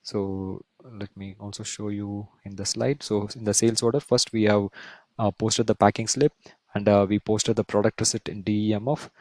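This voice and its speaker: A man instructing through a screen-share software tutorial, explaining step by step and often rephrasing and checking with tag questions. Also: fairly low pitch, no room sound.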